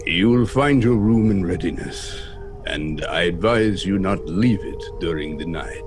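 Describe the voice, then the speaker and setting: An actor playing an ancient aristocratic vampire count in a horror audio drama, formal and ominously polite. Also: male voice, low-pitched, expressive, a little slow, background noise. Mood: ominously courteous. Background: music.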